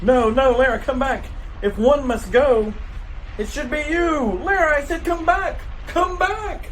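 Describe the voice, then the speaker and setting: A man's voice: very high voice, measured pace, voice pushed up. Doomed alien father for a retro radio serial, male amateur performer: grave, urgent, overdramatic, noisy recording. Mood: urgent pleading. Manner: overdramatic, exclamatory. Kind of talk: 1940s radio acting.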